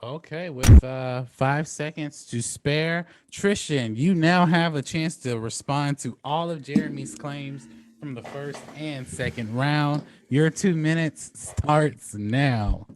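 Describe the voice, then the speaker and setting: A man moderating a debate show off the cuff, excitable and quick to react aloud to what he hears. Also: fairly high pitch.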